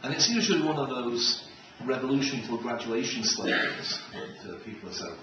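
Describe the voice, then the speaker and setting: A man's voice: mid-range voice, animated, moderate pace, dull audio. A middle-aged man giving a humorous keynote talk with anecdotes, in a wry, joking way. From Israel. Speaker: keynote speaker. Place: large room with an audience. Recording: echoey, noisy hall, distant microphone.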